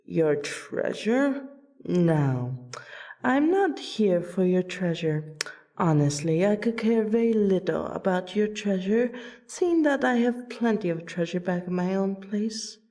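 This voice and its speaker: A woman playing a courteous royal vampire and loyal friend in a fantasy audio roleplay, with a polite, theatrical, deliberate delivery. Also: mid-range voice, lively intonation, warm tone. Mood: confident, sly.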